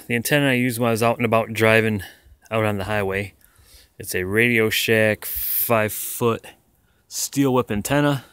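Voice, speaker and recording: Casual vlogger, American male, crisp bright voice, rambling and unpolished, dry close sound. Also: lowish voice, no echo. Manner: rambling, self-deprecating. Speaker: vlogger, former truck driver. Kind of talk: casual product showcase vlog. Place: inside a parked vehicle, later outdoors beside it.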